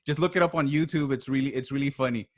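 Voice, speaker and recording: Medium-pitched man, halting casual talker. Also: steady tone. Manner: halting, repeats words as he searches for phrasing. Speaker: podcast guest, writer and voice actor. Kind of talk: casual conversation.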